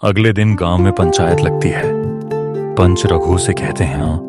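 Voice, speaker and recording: A man narrating a children's cartoon moral story in a steady storybook manner. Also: deep voice, unhurried, loud music behind the voice.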